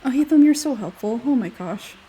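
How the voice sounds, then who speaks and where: A woman's voice: fairly high pitch, lively intonation, a little fast, dark tone, slight background noise. A woman chatting casually while she plays a video game, with a self-deprecating manner. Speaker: gamer voicing all the characters. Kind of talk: casual gameplay chat.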